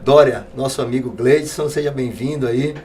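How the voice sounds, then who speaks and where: A man hosting a podcast, interviewing casually and haltingly, repeating words as he builds his questions. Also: mid-range voice, darkish tone, a little hiss or hum. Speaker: podcast host.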